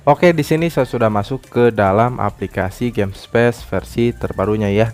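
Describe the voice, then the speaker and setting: A man's voice: lowish voice, normal speed, darkish tone. A man hosting a phone app tutorial, explaining step by step in repetitive phrasing. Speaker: tutorial channel host. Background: background music.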